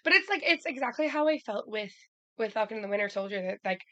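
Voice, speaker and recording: A woman co-hosting a casual podcast chat, hoarse from a cold, talking in a chatty way with lots of restarts. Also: medium pitch, expressive, quick pace, a bit bright, dry recording, muffled recording. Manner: chatty, many fillers and restarts. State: hoarse from a cold, losing her voice. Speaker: podcast co-host.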